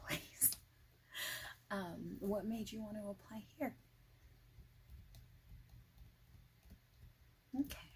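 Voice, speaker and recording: A woman playing a friendly, informal boss in an ASMR interview roleplay, speaking softly and haltingly with whispered phrases. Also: fairly low pitch, a little hiss or hum, rough recording. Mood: curious, chatty. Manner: halting, casual, soft-spoken, with breathy whispered phrases.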